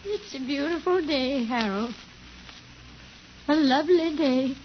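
A woman's voice: high-pitched, slow. A woman playing a frail, befuddled old invalid aunt in 1950s radio drama, vague, dreamy and repetitive. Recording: muffled, hissy old radio broadcast.

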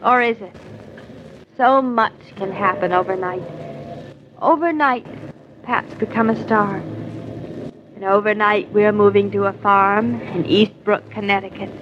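An actress playing a wistful, self-doubting young wife in an earnest, sometimes halting 1940s radio drama style. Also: female voice, medium pitch, steady tone, lightly acted. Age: young adult. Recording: muffled, noisy 1940s radio recording.

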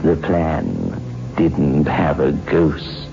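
Very deep, creaky horror host. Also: male voice, big pitch swings, heavily acted. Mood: gleefully mocking. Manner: slow, mocking, punning, chuckling. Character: sinister, gleefully macabre male horror show host. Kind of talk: 1960s horror radio hosting. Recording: muffled 1960s radio with music.

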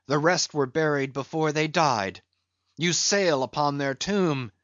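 A man's voice: a little high, a little slow, a bit bright, dry recording, dull audio. A man voicing a grieving, bitter whaling captain in an audiobook, with solemn, archaic, declamatory delivery. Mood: bitter, sorrowful.

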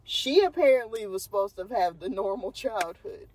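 A woman's voice: mid-range voice, expressive, normal speed, darkish tone, slight background noise, poor audio. A young woman with a Texan accent, casually telling a true crime story as a podcast co-host, laughing often. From Texas. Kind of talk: casual chat.

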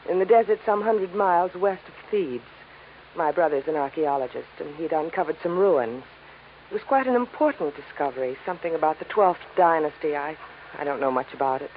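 A woman's voice: sits low, animated, brisk. An actress playing a refined, anxious young woman, polite and guarded, in a 1950s radio drama. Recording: tinny, noisy old radio recording.

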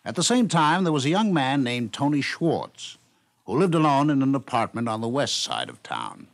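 A man's voice: mid-range voice, very animated, moderate pace. A man narrating a scripted radio documentary in 1950s style. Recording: noisy vintage 1950s radio recording.

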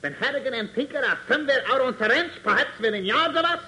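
A man's voice: extremely high pitch, expressive, quick pace, sharp tone, exaggerated voice, low-quality recording. A man playing a ruthless, demanding villain in a 1940s radio serial, formal and melodramatic. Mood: agitated demanding. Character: agitated, ruthless, insolent male villain, scheming and demanding. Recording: hissy 1940s radio transcription.

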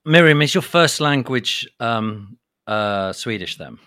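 A man hosting a casual podcast chat, often repeating words and clearing his throat as he asks questions. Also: mid-range voice, lively intonation, slow, sharp tone, dry recording, clean studio sound. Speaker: podcast host.

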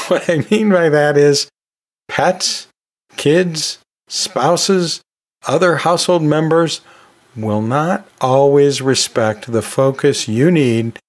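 A man's voice: on the high side, slow pace. A man hosting a podcast, talking through teaching tips in a conversational, emphatic way with self-deprecating humor. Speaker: podcast host, educator.

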